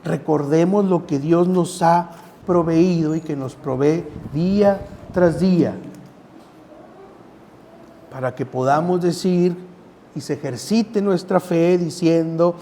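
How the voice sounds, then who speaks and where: High-pitched, slow-paced male preacher. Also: dark tone, a little hiss or hum. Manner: conversational, repeating phrases for emphasis. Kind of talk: sermon with scripture reading.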